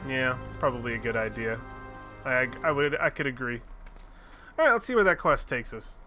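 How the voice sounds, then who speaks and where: A man's voice: mid-range voice, lively intonation, moderate pace, pushed and bright, faint music behind. A man streaming a game, recapping the story in a rambling, joking way with lots of fillers and casual swearing. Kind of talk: casual let's-play commentary.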